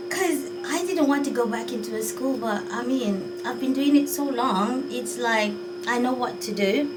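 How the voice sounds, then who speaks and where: High-voiced Jamaican woman, slow and musing. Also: distant mic, poor audio. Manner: chatty, musing and unsure, with sighs. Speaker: vlogger. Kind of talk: casual talk to camera.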